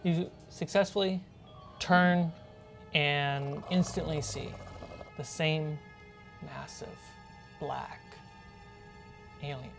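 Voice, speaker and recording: A woman's voice: low-pitched, steady tone, drawn-out words, gravelly, long pauses. A woman narrating a tabletop horror role-playing game as game master. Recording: music bed under the voice. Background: background music.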